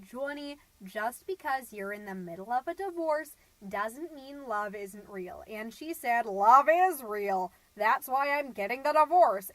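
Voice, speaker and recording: A woman's voice: on the high side, dry recording, rough recording. A woman playing a middle-aged suburban stay-at-home mom, gossipy and naive, rambling through a comedic podcast monologue with folksy, dramatic emphasis. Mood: indignant, judgmental. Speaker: podcast host.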